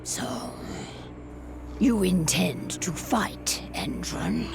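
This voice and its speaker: A woman playing a grave, proud, wary pack leader in a video game, with a breathy, gravelly voice and solemn delivery. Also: lowish voice, big pitch swings, music in the background, full character voice. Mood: stern. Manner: deliberate, halting, solemn. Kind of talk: video game cutscene acting.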